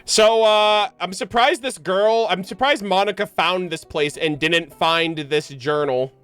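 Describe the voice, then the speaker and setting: Higher-pitched casual male game streamer. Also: unhurried, fairly bright tone, smooth, no room sound. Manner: slangy, muses aloud, short interjections. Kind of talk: casual live reaction and commentary. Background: game music.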